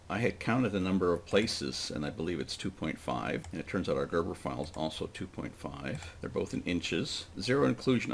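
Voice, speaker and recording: A man with a deep voice and a noticeable creak narrates a software tutorial. He explains each step plainly and informally, as if thinking aloud while he works. There is some background noise and keyboard typing in the recording. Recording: clearly noisy desk recording with typing.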